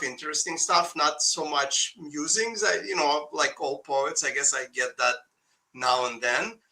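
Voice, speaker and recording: A man speaks with a Russian accent, and English is not his first language. His voice is bright and sharp. He talks casually and hesitantly, full of fillers and restarts. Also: a little high, poor audio. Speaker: poet guest. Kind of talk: casual conversation.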